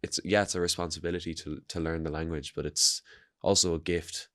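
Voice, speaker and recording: A man's voice: sits low, little pitch movement, a little fast, a bit bright, dry recording, clean studio sound. A man hosting a podcast, asking his guests rambling, friendly questions. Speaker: podcast host. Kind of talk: casual interview.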